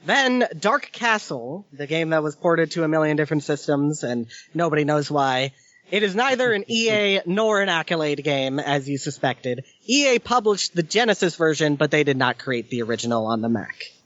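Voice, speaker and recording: Male co-host with a slightly high voice, dry and teasing, dull lo-fi audio. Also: animated, normal speed, a bit bright, dry recording. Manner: dry, teasing, corrects facts bluntly. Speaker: podcast co-host. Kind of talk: casual chat.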